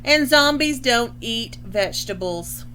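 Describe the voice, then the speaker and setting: Bright-voiced woman teaching young children. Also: a little high, expressive, a little hiss or hum. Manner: explanatory, pausing to define words. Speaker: school librarian reading a picture book aloud. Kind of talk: instructional read-aloud for young children.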